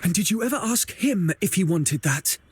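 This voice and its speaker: An actor playing an earnest, defiant young video game hero with a blunt, direct way of speaking. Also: male voice, sits high, expressive, moderate pace, a little hiss or hum. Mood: defiant, upset. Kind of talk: scripted video game voice acting.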